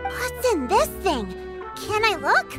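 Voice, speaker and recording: An eager little girl in a video game, her childlike voice pitched extremely high. She speaks slowly and politely, full of open admiration, with music playing behind her. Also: very animated, full character voice. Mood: excited, curious.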